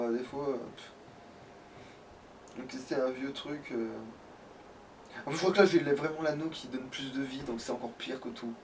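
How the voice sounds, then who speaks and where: A man playing a video game and commenting casually in a rambling, exasperated, grumbling way. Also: mid-range voice. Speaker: let's player. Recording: distant mic with faint background noise.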